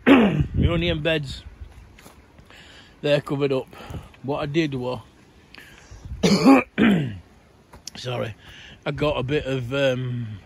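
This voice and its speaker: A middle-aged man with a croaky, worn-out voice, chatting in a rambling way while he gardens outdoors. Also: a little high, very animated, extremely slow, pauses often, slight background noise. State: croaky voice, coughing. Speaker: allotment gardening vlogger. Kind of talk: casual garden update to camera.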